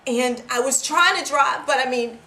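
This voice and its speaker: An actress playing a guilt-ridden woman confessing a long-held secret in a dramatic monologue, halting and repeating herself. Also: fairly high pitch. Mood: rising panic. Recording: echoey room with clear background noise.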